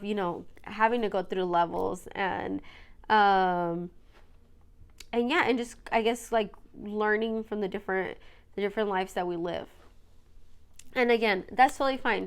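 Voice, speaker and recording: A woman's voice: mid-range voice, measured pace, clean tone. Chatty woman podcaster, stuffy from allergies. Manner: rambling, many fillers and "you guys". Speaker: podcast host. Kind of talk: casual solo podcast talk.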